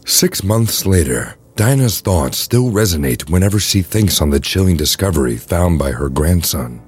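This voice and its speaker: A man's voice: low-pitched, animated, normal speed, slightly rough. A man narrating an audio drama in a scripted storytelling style.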